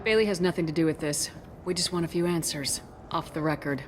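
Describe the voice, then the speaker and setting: A woman's voice: on the low side, fairly quick, background noise, lightly acted. A woman playing a tough, commanding military officer in a video game, speaking in a terse, firm and direct way.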